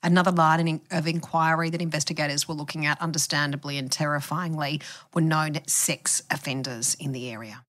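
An Australian woman hosting a podcast, interviewing a journalist in a measured style. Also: low voice, fairly even intonation, a bit bright, no echo.

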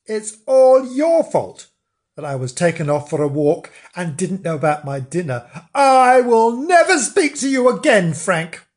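A man's voice: a little high, normal speed, hardly any pauses, lightly acted. A man voicing an offended, haughty pet cat with an indignant manner in a storybook. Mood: huffy, offended. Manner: indignant, huffy.

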